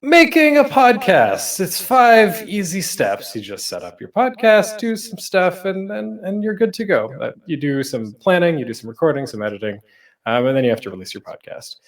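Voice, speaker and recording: Male panel host, very animated pitch swings, informal presenter style with self-deprecating asides. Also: normal speed, distant mic.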